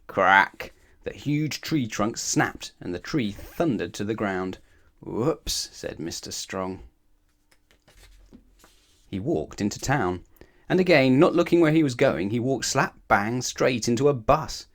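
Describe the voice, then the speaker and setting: A man reading a children's story aloud in an expressive, animated way, with emphatic phrasing aimed at the listener. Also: medium pitch, a little slow. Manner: animated, asks the listener questions, emphatic repetition.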